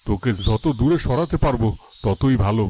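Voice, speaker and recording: A man's voice: mid-range voice, lively intonation, lightly acted. Guy as sorrowful cartoon dad, mid voice, birds and noise behind. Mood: remorseful. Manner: halting, remorseful. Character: guilt-ridden, protective father hiding his motives. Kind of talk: melodramatic cartoon acting.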